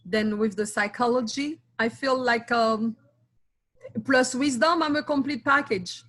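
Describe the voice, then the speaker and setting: A woman answering interview questions earnestly and proudly, in short phrases with pauses. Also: fairly high pitch, fairly bright tone. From Haiti. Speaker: guest, school board candidate.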